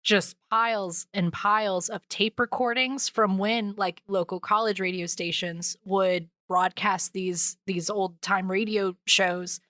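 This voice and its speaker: A woman talking casually as a podcast co-host, in relaxed conversational language. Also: mid-range voice, slow pace, hardly any pauses, dry recording, clean studio sound. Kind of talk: casual chat.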